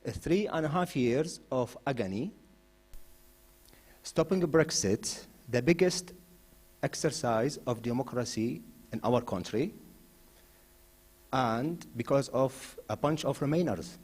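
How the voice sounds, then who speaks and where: A man originally from Iraq, standing as a candidate and giving a forceful hustings speech in a mosque hall, with frequent "uh" fillers as he builds to emphatic points. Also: mid-range voice, slow, pauses often, breathy edge. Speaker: election candidate.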